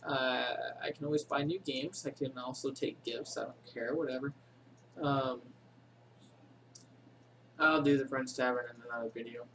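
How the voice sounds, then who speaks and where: A man's voice: mid-range voice, steady tone, moderate pace, pauses often, a bit bright, a little hiss or hum, poor audio. A man casually narrating a screen-recorded game tutorial, rambling with many ums and self-corrections, in a voice he calls boring. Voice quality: says he has a boring voice. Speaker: game tutorial channel host.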